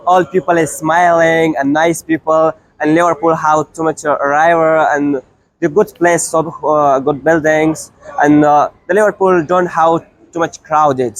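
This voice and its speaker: A young man from Afghanistan answering interview questions eagerly, with hesitant pauses and simple phrasing. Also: fairly high pitch, fairly even intonation, low-quality recording. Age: young adult.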